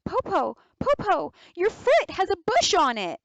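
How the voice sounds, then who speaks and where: A woman's voice: extremely high pitch, wildly swooping pitch, unhurried, no room sound, voice pushed up, full character voice. A woman voicing a clever, wary eldest girl in a folktale, questioning and politely crafty. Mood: suspicious.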